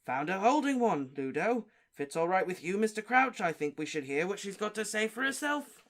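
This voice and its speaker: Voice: mid-range voice, sing-song, fairly quick, fairly bright tone, pitched above the performer's own voice. A reader voicing a stern, officious male wizard official who barks his questions. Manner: sternly barking, interrogating.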